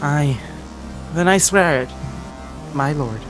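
A woman's voice: deep voice, animated, slow pace, music in the background, poor audio. A woman playing a loyal young sword-bearing companion, deferential and dramatic, in an audio drama. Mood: loyal, resolute. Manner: dramatic, deferential archaic phrasing.

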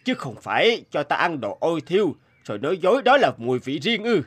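An actor playing a portly god of wealth in a cartoon folktale, theatrical and exclamatory, with drawn-out words. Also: male voice, a little high, big pitch swings. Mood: stern, solemn. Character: portly god of wealth, hungry amnesiac beggar turned stern deity. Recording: music behind the voice.

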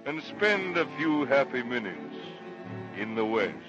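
A man's voice: medium pitch, big pitch swings, measured pace, dull audio. A man playing a genial, folksy ranch boss and host in 1930s radio style. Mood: wistful, inviting. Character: genial ranch boss hosting a cowboy show. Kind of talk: 1930s radio announcing and acting. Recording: old 1930s radio recording, music behind.

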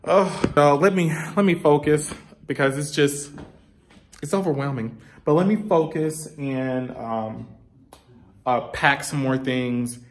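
A man's voice: fairly high pitch, slow pace. A man in his thirties, stuffed up and nasal, chatting to viewers as he films a casual tour of his home while it is being packed up. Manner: chatty, emotional, addresses viewers as y'all. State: nasal congestion. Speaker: vlogger. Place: house being packed up, indoors. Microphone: handheld phone camera.

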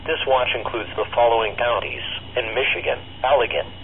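A synthesized man's voice reading a formal, measured weather bulletin as an announcer, heard through a weather radio speaker. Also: mid-range voice, steady tone. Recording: tinny narrowband radio speaker, faint noise.

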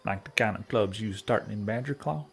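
An actor playing a folksy, earnest frontier guide with a drawling rural Western American accent in a western audio drama. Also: male voice, fairly low pitch, brisk, gravelly, husky. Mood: curious.

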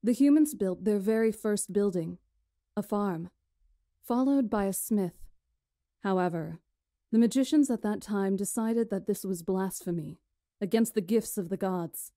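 A woman playing a poised, commanding witch who tells history in a formal, deliberate way for a visual novel. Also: mid-range voice, dark tone, no room sound, clean studio sound, natural voice. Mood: solemn storytelling. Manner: formal, deliberate, imperious storytelling. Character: poised, commanding witch storyteller lecturing a council.

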